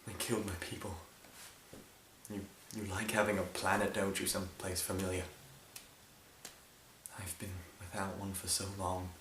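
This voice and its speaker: A man playing a brooding, grieving and angry alien time-traveller who lost his planet, in a halting improvised fan-film monologue. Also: deep voice, completely flat delivery, fairly bright tone. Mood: wistful, grieving. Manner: halting, brooding, building to anger. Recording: roomy sound with faint hiss.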